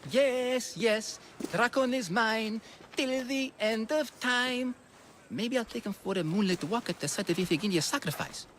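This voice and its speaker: An actor playing a gushing, lovesick ancient Greek athlete in a video game, fretful and theatrical. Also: male voice, very high voice, unhurried, a bit bright, a little hiss or hum. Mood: triumphant, smitten. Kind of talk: video game cutscene acting.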